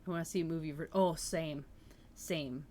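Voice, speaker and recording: Low-voiced woman chatting on a livestream. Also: steady tone, moderate pace, mellow. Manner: chatty, enthusiastic, reacting to chat comments. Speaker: livestream host reading books aloud. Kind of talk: casual livestream chat with viewers.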